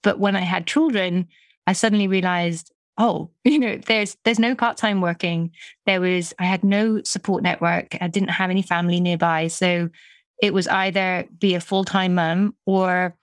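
A woman's voice: lowish voice, steady tone, moderate pace, no room sound, clean studio sound. A middle-aged British woman from the UK, a business coach talking casually in an interview, chatty with frequent restarts. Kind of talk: conversational interview.

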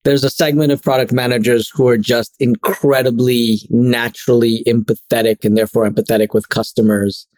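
Man from Trinidad, interview guest, measured and self-deprecating, dry recording. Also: mid-range voice, flat delivery, a little mellow, dry recording. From Trinidad and Tobago. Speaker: guest, product executive. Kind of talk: casual interview chat.

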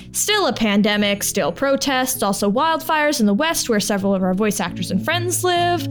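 A woman hosting a podcast, reading a script with informal, candid and wry asides. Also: medium pitch. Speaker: podcast host and producer.

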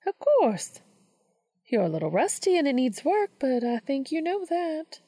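A woman voicing a warm but firm woman mentor in a storybook reading, coaxing and insistent. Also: high voice, sing-song, unhurried, no room sound, studio quality, pitched above the performer's own voice. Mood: warm, encouraging.

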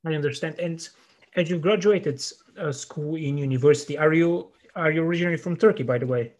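Friendly, hesitant interviewer, pitched slightly high. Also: male voice, brisk, a little mellow. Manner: friendly, hesitant, with many fillers. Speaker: interviewer, podcast host. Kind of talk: interview questions.

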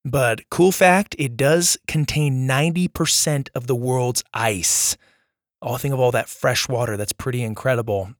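A man hosting a children's story podcast, hoarse from losing his voice, chatting in an upbeat, friendly way to young listeners. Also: medium pitch, unhurried, dry recording, clean studio sound. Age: adult with young children. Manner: upbeat and friendly, addressing kids directly. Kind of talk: casual outro chat to young listeners.

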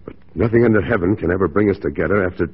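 Resolute sergeant, lowish husky voice, terse, grave, muffled vintage radio sound. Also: male voice, rapid-fire. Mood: grave, pained. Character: stern, dutiful mounted police sergeant, stoic and resolute. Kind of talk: 1940s radio drama acting. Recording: dull 1940s radio recording.

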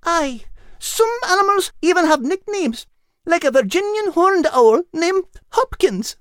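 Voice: very high-pitched, lively intonation, a little slow, a bit bright, no echo, clean studio sound, pitched above the performer's own voice, heavily acted. A narrator voicing a small, eager female dog in a children's audiobook. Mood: informative.

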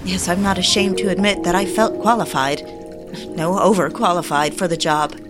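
An actress playing an anguished, bitter 1950s housewife who confides in deliberate, literary phrases, as in a dramatic audio drama narration. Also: female voice, lowish voice, fairly even intonation, lightly acted. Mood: confiding, bitter. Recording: music behind the voice. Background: music.

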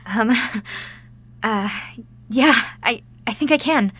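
A woman's voice: a little high, extremely slow, a bit bright, slight background noise. A young woman playing an anxious, awkward teenage girl with superpowers in an audio drama, hesitant and wry. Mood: apologetic, unsure. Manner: hesitant, self-deprecating, wry. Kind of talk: naturalistic audio drama acting.

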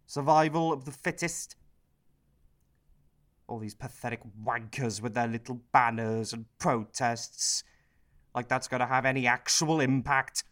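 A man's voice: medium pitch, a little slow, fairly bright tone, lightly acted. A man playing a cynical, self-pitying prime minister in a satirical radio play, sardonic and bitter as he confides in the audience. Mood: bitter, self-justifying.

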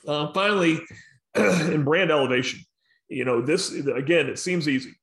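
A man's voice: on the high side, normal speed, fairly bright tone. American man, instructive and emphatic. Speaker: sports sponsorship professional leading a discussion. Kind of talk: explanatory professional discussion.

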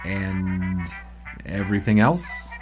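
An actor playing an earnest 1920s newspaper sportswriter in an audio drama, speaking in a measured, literate way. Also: male voice, low-pitched, steady tone, soft background music. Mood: hesitant, probing. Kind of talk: audio drama dialogue and scripted narration.

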